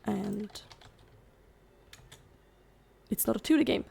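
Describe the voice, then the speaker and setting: A woman's voice: medium pitch, slow pace, creaky, darkish tone, breathy edge. A young woman chatting casually over gameplay, rambling and saying "like" constantly, her voice strained and giving out. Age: young adult. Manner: rambling, fills every gap with "like". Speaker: gaming YouTuber.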